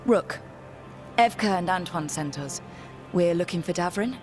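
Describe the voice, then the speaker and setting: Casting: resolute game heroine, lowish voice, direct recruiter, score playing behind. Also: female voice, expressive, unhurried. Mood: measured. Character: determined adventurer heroine, plain-spoken recruiter and leader. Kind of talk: video game cutscene voice acting. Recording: game music under the voice.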